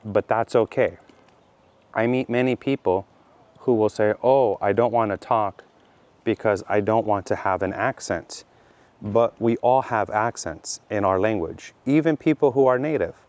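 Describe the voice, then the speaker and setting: A middle-aged man from California with a Californian accent, teaching slowly and deliberately for language learners, repeating his key points. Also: lowish voice, a little mellow. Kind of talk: slow explanatory monologue for learners.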